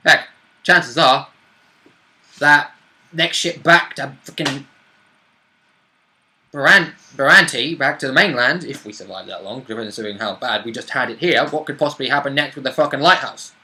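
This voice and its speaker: A man's voice: medium pitch, moderate pace, pauses often, bright, forceful tone, slight background noise. A man chatting as a player in a tabletop game, blunt, joking and free with swearing. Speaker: player in a tabletop roleplaying group. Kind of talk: casual banter about a tabletop game.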